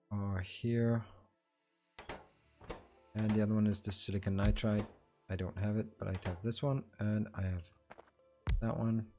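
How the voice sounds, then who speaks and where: A man's voice: fairly low pitch, mellow. A man streaming a game tutorial, chatting casually with viewers and thinking aloud as he builds.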